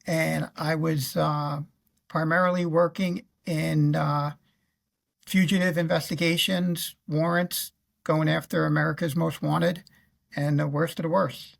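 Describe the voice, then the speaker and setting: A man in his fifties with a New York accent and a notably creaky, gravelly voice. He talks about his working life as an interview guest, in halting phrases with frequent pauses, from a room on a video call. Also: a little high, level tone. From Queens, New York. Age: 50s. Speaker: guest, retired federal law officer and navy veteran. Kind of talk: recounting career in an interview.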